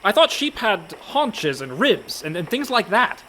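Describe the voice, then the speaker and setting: A man's voice: high voice, very animated, a little slow, sharp tone, a little hiss or hum, full character voice. An actor playing a fretful, reluctant con man in a comic radio play, full of dry, indignant protests. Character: anxious, reluctant young-sounding con man, male, indignant worrier.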